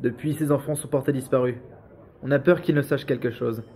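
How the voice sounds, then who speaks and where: Man as brisk, guarded young investigator. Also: medium pitch, steady tone, darkish tone, slightly rough. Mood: guarded, terse. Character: guarded young male investigator, dutiful nephew. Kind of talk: French audio drama acting.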